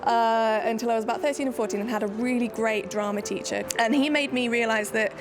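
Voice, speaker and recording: A woman's voice: a little high, fairly even intonation, fairly quick, background noise. A woman from Nottingham, a solo theatre maker, talking in a documentary interview in a chatty, self-deprecating way with many restarts. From Nottingham, England.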